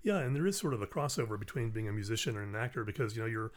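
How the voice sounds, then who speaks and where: A man's voice: lowish voice, lively intonation, rapid-fire. A man being interviewed as a musician and actor, easygoing and self-deprecating in casual chat.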